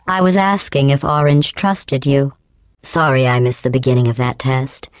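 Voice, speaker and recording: A cold, sarcastic female AI in a video game, calmly handing out insults in a deadpan, condescending tone. Her voice sits low for a woman and sounds smooth and resonant, with a synthetic computer sheen. The recording is dry and close, with no room sound at all. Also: dry recording. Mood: mockingly polite. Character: cold sarcastic female AI running test chambers.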